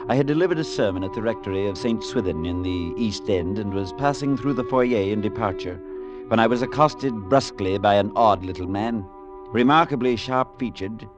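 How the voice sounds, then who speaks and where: A man playing a priest who narrates his own story in a vintage radio drama, measured and reflective, at times gently amused. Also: fairly low pitch, close to an everyday voice. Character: thoughtful male priest narrator. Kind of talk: 1940s radio acting. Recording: dull old radio broadcast with faint music.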